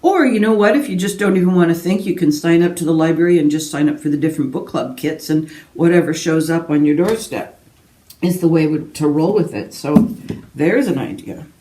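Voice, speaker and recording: A woman with a soft-edged, dark voice talks casually in the style of a book vlogger. She wanders through asides and interrupts herself as she goes. The sound is roomy and echoing, with her set back from the microphone. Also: low-pitched, brisk, slight background noise. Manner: rambling, self-interrupting asides. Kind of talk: casual book chat to camera. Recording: roomy echo, distant from mic.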